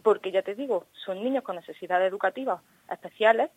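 A woman's voice: medium pitch, quick pace, darkish tone, husky, dry recording, rough recording. A woman with a mid-range voice, interviewed by phone. She talks spontaneously and earnestly as a school parent, insisting and repeating herself as she makes her case.

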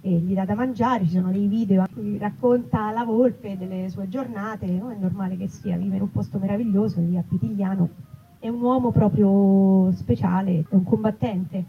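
A woman, a writer and actress, chatting casually and animatedly in an interview, often pausing to rephrase. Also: mid-range voice. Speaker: writer and actress interviewed about her book. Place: live festival event. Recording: muffled recording with clear background noise.

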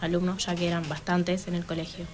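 Even-toned teenage Argentine girl. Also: fairly low pitch, a little fast, music in the background. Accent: Buenos Aires Argentine. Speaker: student guest on radio. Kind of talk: casual radio interview answers.

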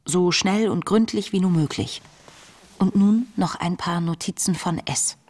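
An actress playing an introspective young woman who reads her diary in a radio play, earnest and confessional, with a breathy voice. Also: lowish voice, a little mellow. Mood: brisk, self-approving. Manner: reflective, measured, earnest, confessional. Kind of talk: literary radio play monologue, intimate diary narration.

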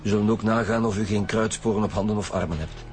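Actor as a low-voiced Flemish police detective. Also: male voice, fairly even intonation, fast talker, darkish tone, soft background music, close to an everyday voice. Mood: businesslike. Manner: matter-of-fact, blunt. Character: dry, sceptical male police detective. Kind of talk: naturalistic radio drama acting.

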